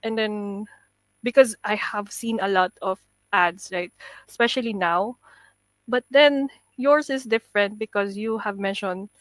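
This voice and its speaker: A woman in her forties from the Philippines with a Filipino accent, a guest on a casual live chat, relaxed and laughing, mixing Tagalog into her English. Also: mid-range voice, no echo. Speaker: guest, business partner.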